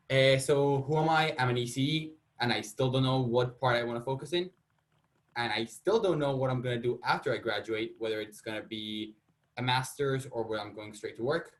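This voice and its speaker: A young man from Colombia, a student presenting his research in a casual, self-deprecating way. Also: mid-range voice. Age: young adult. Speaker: student panelist.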